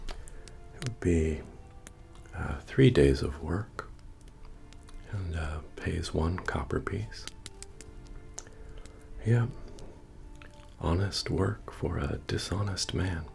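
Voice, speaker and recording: A man playing a friendly, humble medieval fantasy innkeeper in a soft-spoken, deep-voiced, understated ASMR roleplay. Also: extremely slow, creaky, long pauses, full character voice. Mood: easygoing, musing. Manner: hesitant, rambling, understated delivery. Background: bard music.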